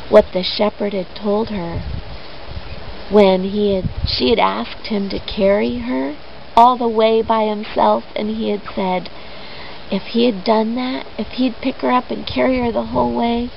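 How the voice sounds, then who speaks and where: A woman tells a children's story at a slow, deliberate pace, pausing for drama. Her voice sits a little high and has a creaky, gravelly edge. The recording is dull, with faint noise behind it. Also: fairly even intonation. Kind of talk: expressive children's story retelling with simple explanation.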